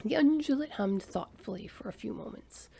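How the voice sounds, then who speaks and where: A woman's voice: on the high side, lively intonation, moderate pace, creaky, warm tone, husky. A woman narrating a science fiction story as the author-reader. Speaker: audiobook narrator.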